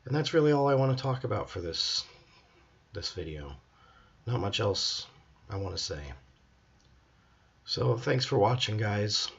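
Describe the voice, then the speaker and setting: A hoarse young man, a drama student, talking earnestly and reflectively in a personal video journal. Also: fairly low pitch, animated, a little slow, pauses often, lo-fi sound. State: hoarse, voice hard to hear.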